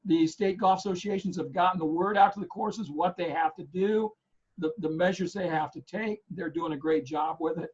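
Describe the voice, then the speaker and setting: A hoarse man with a slightly raised voice talks through golf news in loose, rambling chat. He stops and restarts often and leans on fillers. The sound is a bit dull and lo-fi. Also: high voice, normal speed, a little mellow. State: hoarse, losing his voice. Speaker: co-host of a golf news show. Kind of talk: casual chat.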